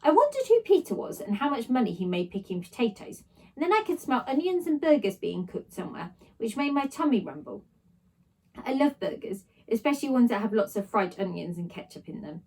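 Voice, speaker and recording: A woman's voice: on the high side, sing-song, fairly quick, darkish tone, slightly rough, poor audio. A woman reading a children's story aloud expressively, narrating in a child's first person. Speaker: story reader, teacher.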